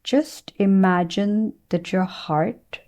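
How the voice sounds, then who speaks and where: A woman teacher leading a guided meditation, speaking in a gentle, soothing way with unhurried pauses between phrases. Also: medium pitch.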